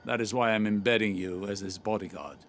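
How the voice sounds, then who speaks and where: An actor playing an aloof male mentor who speaks in a measured, commanding way. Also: on the low side, steady tone, a little hiss or hum, lightly acted. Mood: stern. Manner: measured, cool, stern. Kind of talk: video game cutscene dialogue. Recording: game score behind.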